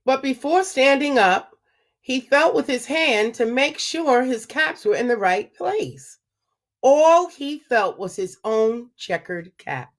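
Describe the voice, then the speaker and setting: A woman reads a picture book aloud to young children at home in a slow, expressive storytelling manner. Her voice sits a little high, and she takes her time over every phrase. Now and then she stops to ask her young listeners what they think.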